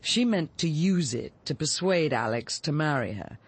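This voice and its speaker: Coolly controlled middle-aged English murderess. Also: female voice, sits low, expressive, moderate pace, slightly rough. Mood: intense, confessional. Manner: articulate, controlled, unsentimental. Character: cool, resolute middle-aged woman confessing to murder. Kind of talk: BBC radio drama acting.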